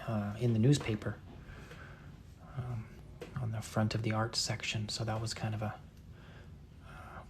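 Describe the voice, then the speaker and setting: Soft-spoken, flat-toned man. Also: lowish voice, background noise. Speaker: painter streaming a live session. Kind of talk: casual chat while painting.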